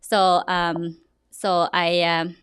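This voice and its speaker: A young woman with a Vietnamese accent, a real estate agent talking in a podcast interview, lively and often restarting her sentences. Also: fairly low pitch, drawn-out words, a little hiss or hum. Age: young adult. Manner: animated, restarts often, laughs.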